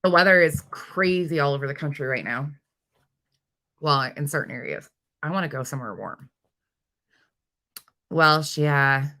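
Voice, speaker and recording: Low-pitched woman, bright voice. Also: measured pace, long pauses. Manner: chatty, informal, giggly, jumps between replies. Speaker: livestream host. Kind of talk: casual live chat, reading and answering viewer comments.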